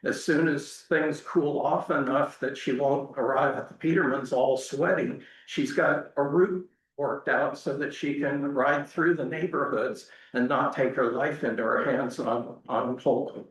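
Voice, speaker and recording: A man telling a casual personal story in a folksy, halting way as a volunteer speaker. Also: mid-range voice, steady tone. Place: large indoor church. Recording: echoey church hall, distant mic.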